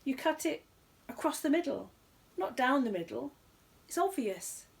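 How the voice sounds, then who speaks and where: A self-important male quiz master from a children's story, played by a woman reader. He speaks stiffly and solemnly, condescends to contestants and gives ground grudgingly, with a creaky edge to the voice. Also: sits high, big pitch swings, measured pace, voice pushed up, exaggerated voice. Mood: flustered, exasperated. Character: pompous, grudging male TV quiz show host.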